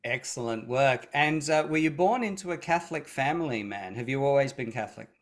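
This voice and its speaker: A male interview host at a middle pitch asks long questions about faith. He gets to his point through many fillers and short affirmations. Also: animated, a little fast. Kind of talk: recorded online interview.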